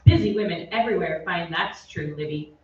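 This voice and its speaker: An actress playing an earnest, upbeat 1940s radio soap announcer with emphatic sales patter, read on stage. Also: female voice, fairly low pitch, fairly quick, close to an everyday voice. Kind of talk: 1940s radio commercial re-enactment. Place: theatre stage, away from the mic. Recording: very echoey stage, distant, dull, faint noise.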